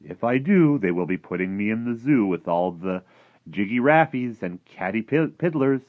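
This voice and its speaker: A man voicing a huge, gentle, gloomy giant who talks in muddled grammar and invented words for a children's storybook. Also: on the low side, expressive, normal speed, no room sound, narrow, tinny audio, voice pushed up, natural voice. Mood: firm, wary. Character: huge, gentle, gloomy friendly giant with garbled rustic speech. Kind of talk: animated children's storybook character reading.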